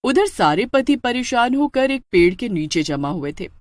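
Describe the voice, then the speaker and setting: Casting: female storyteller, dramatic scripted read, expressive pitch movement, dry studio sound. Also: moderate pace, no echo.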